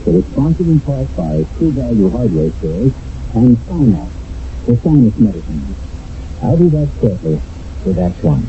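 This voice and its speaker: An older American man narrating a radio mystery drama as host, in a solemn, deliberate, theatrical way. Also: medium pitch, sing-song, creaky, background noise. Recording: muffled phone-like old radio recording with music. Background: dramatic music.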